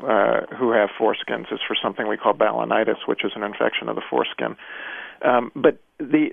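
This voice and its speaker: A man's voice: mid-range voice, vocal fry, dry recording. A man speaking as a pediatrician guest, explaining carefully and hesitantly with many fillers, heard over a phone line. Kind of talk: measured expert explanation.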